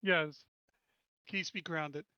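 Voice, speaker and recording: A man's voice: on the high side, steady tone, very slow, pushed and bright, smooth, dry recording, dull audio. A man playing a tabletop roleplaying game and trading casual banter.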